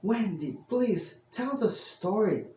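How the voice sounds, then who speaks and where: A man voicing young boys in a bedtime storybook, pleading and excited. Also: high voice, takes it slowly, voice pushed up. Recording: roomy, distant mic with faint noise.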